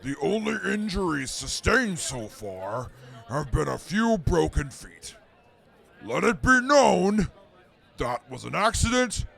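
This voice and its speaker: A man's voice: on the high side, vocal fry, a bit bright, heavily acted. A man playing a boastful, hot-tempered super mutant reporter who talks stiffly and formally in a radio drama. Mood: condescending. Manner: stiff formal speech breaking into threatening outbursts. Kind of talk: post-apocalyptic radio comedy drama acting.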